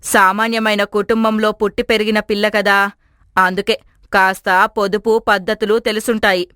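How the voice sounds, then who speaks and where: A woman's voice: medium pitch, little pitch movement, moderate pace, a bit bright, no room sound, studio quality, natural voice. A woman voicing a strong-willed, persuasive middle-aged mother-in-law in a family story cartoon. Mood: firm, persuasive.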